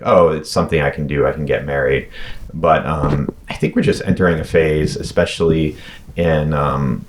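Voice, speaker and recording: A man in his late thirties from North Carolina, hosting a casual conversation in a measured, thoughtful way with occasional ums. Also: a bass voice. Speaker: podcast host.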